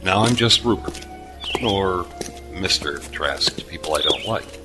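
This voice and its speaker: An actor playing a gruff, weary former starship captain turned repairman in a sci-fi audio drama, dry, curt and sardonic. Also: deep voice, expressive, measured pace, music in the background. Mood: sardonic, guarded. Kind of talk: science fiction audio drama acting.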